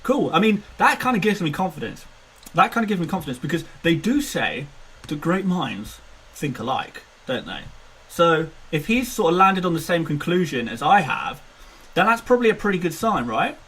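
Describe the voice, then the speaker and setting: Animated chatty man in his twenties. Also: on the high side, normal speed, slight background noise, rough recording. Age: 20s. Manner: chatty, self-mocking, laughs often. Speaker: fantasy football streamer. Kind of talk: casual live-stream chat.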